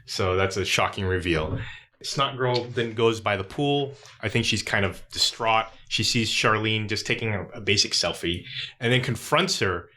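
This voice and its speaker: A man's voice: on the low side, moderate pace, sharp tone. A man hosting a casual book club discussion, talking through a story thoughtfully. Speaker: podcast host.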